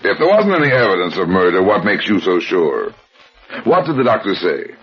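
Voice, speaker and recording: A terse, husky private eye. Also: male voice, sits low, big pitch swings, fairly quick, full character voice. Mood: dry. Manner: terse and dry. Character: laconic, wry male private detective. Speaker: private detective. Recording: old lo-fi radio recording.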